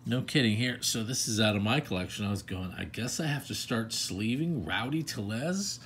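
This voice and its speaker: A man with a fairly low voice chats through a baseball card opening. He rambles in self-deprecating asides while he sorts cards at a table. A faint hum of room ambience sits behind him. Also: animated, moderate pace, a bit bright. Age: older adult. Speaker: card collector channel host. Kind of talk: casual pack-opening commentary. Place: indoors at a table.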